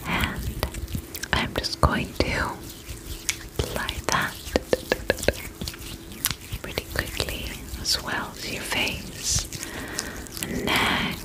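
A woman doing an ASMR roleplay as a spa attendant in a breathy whisper, soothing and repeating short reassuring phrases. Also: very slow, long pauses, low-quality recording.

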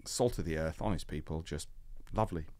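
A man in his fifties from Leeds, a podcast guest chatting casually in an animated, joking way with quick asides and frequent restarts, recorded in a podcast studio on a desk mic. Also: extremely low pitch. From Leeds, England. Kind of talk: casual interview chat. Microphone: desk mic on a boom arm.

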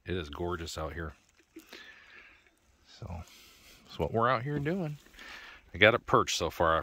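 A man's voice: fairly low pitch, no echo. A man, casually vlogging to a phone held at arm's length, outdoors and in a car, rambling with lots of fillers. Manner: relaxed, rambling with many fillers. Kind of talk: casual vlog narration.

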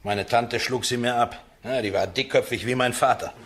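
An actor playing a defensive, down-to-earth accused man in a radio play, speaking haltingly and colloquially. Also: mid-range voice, fairly even intonation, fairly bright tone, close to an everyday voice. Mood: frank, halting.

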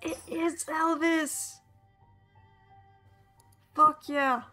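A woman with a mild Swedish accent chats loosely through a game, rambling and swearing between laughs. Her voice is bright and a little high. Faint music runs underneath. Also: little pitch movement, drawn-out words. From Sweden. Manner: chatty, swearing, laughing often, rambling asides. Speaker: VTuber streamer. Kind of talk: casual gameplay commentary.

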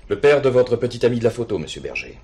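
An actor playing a stiff, coldly dutiful police superintendent in a French radio play, speaking in formal, measured officialese. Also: male voice, medium pitch, very animated, a little mellow, natural voice.

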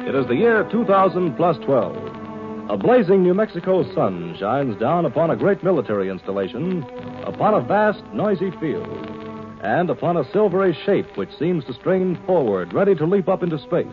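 A man announcing and narrating a science fiction radio drama in a formal, measured 1950s announcer style. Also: fairly high pitch, sing-song. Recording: dull old radio recording over music.

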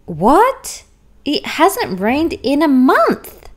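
A woman's voice: sits high, wildly swooping pitch, very slow, heavily acted. A woman voicing a vain, fussy, prim female unicorn with dramatic delivery for a children's picture book. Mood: disgusted.

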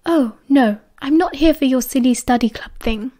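Woman putting on a soft, girlish, haughty schoolgirl. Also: high voice, moderate pace. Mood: coy. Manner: coy, entitled.

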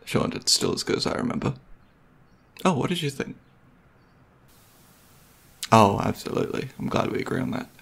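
Husky, creaky young boyfriend, played by a man. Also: medium pitch, expressive, normal speed, pauses often, full character voice. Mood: playful, easygoing. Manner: hesitant, soft-spoken, conversational, with mumbled asides. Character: gentle, nerdy, considerate young boyfriend on movie date. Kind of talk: intimate ASMR boyfriend roleplay.

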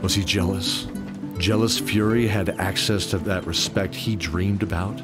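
Low-voiced male fantasy narrator over music. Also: fairly even intonation, measured pace. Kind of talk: scripted fantasy story narration.

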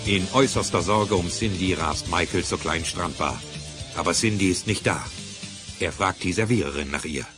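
A man with a deep voice narrates a German radio play. His delivery is scripted storytelling, with music clearly playing behind him. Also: moderate pace.

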